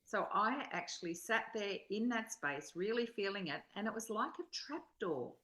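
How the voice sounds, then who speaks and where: A woman's voice: mid-range voice, expressive, moderate pace, a bit bright. A woman in a spiritual study group, sharing reflectively and reading aloud in an earnest, introspective way.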